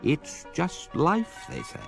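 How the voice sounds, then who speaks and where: An actor narrating a comic science fiction radio drama in a measured, deadpan, authoritative way. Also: male voice, sits high, gravelly, exaggerated voice. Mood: dry, measured. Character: measured, dryly authoritative storybook narrator of galactic absurdities. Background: music.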